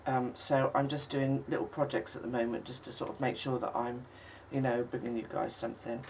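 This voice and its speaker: Croaky woman with a cold. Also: level tone, a little mellow. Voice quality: husky, low for her. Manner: chatty, halting, many ums, coughs now and then. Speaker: craft channel host. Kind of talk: casual crafting tutorial. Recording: distant mic with faint noise.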